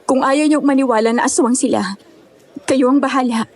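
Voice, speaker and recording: Woman acting high voiced young lady survivor, polite and earnest, horror audio drama. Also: normal speed.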